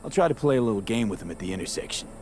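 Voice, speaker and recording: Man acting deep, laconic streetwise mercenary. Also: expressive, very fast, a little mellow, faint music behind, lightly acted. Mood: cool, confident. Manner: laconic, clipped slangy phrasing. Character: laconic, streetwise male mercenary, cool and practical. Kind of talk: naturalistic video game voice acting.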